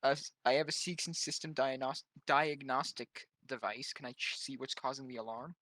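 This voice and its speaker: A man's voice: medium pitch, fairly even intonation, normal speed, sharp tone, no room sound. A man chatting casually as a player in a tabletop game.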